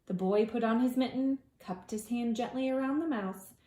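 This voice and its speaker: Expressive woman reading a storybook, roomy sound. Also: fairly high pitch, normal speed. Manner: dramatic, with hushed asides to young listeners. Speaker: preschool teacher reading storytime. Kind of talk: expressive children's storybook read-aloud.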